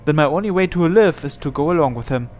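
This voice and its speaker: High-pitched man reading a story over music. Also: animated, fast, a little mellow. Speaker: gaming channel host. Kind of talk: reading visual novel narration aloud, dramatic. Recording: game music behind the voice. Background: background music from the game.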